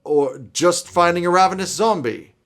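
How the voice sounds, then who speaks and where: A man's voice: high voice, moderate pace, fairly bright tone. A man in his thirties giving conversational advice to camera in an emphatic, opinionated way, as a video host. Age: 30s. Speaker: host of tabletop roleplaying video channel. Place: small indoor home office.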